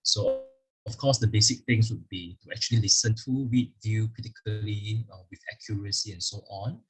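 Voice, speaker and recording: A low-voiced man with a Singaporean English accent walks an online audience through a school curriculum. His voice is very husky and breathy. He explains step by step, filling pauses with "uh" and "actually". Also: fairly even intonation, low-quality recording. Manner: explanatory, leans on "actually" and fillers. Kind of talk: virtual curriculum presentation with slides. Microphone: video call microphone.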